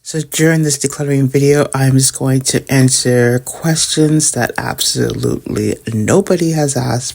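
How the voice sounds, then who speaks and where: A woman's voice: rumbling low, creaky, darkish tone. A middle-aged woman, chatting casually to her viewers while tidying up at home. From Canada. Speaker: vlogger. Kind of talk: casual chat while decluttering.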